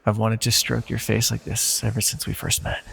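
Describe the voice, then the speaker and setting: An ancient godlike male villain, played by a man, speaks in a heavily creaking voice with a breathy edge. He is hushed and deliberate, coaxing the listener tenderly as he manipulates them. It is intimate ASMR fantasy roleplay. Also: monotone, long reverb tail, exaggerated voice. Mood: tender, teasing. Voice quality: gravelly, breathy low voice.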